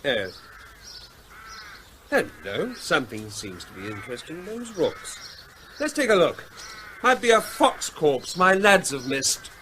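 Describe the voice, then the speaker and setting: An actor in a radio drama playing an earnest, dogged official with an urgent, insistent manner. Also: male voice, fairly high pitch, big pitch swings, a little slow, long pauses, pushed and bright, a little hiss or hum, exaggerated voice. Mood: busy, curious. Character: earnest, dogged male animal-disease official. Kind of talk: British radio drama acting.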